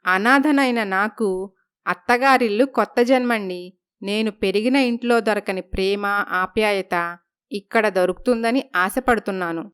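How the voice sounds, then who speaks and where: A woman's voice: medium pitch, unhurried, clear, resonant tone, natural voice. A woman voicing a polite, hopeful young bride in a storybook tale. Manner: soft, respectful.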